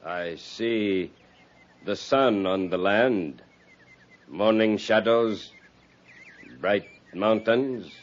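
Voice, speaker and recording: Proud vengeful chief in a radio western: very slow, solemn, clipped broken English, low voice. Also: male voice. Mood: grave, relenting. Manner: halting, clipped broken English in short phrases. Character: proud, vengeful Cheyenne war chief, grave and unyielding. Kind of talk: 1950s radio drama acting. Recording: dull 1950s radio recording.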